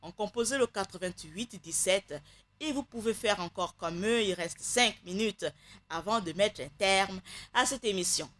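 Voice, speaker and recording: A woman hosts a live radio show, wrapping up in a chatty, unhurried way with thanks and greetings. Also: mid-range voice, fairly bright tone, a little hiss or hum. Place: radio studio.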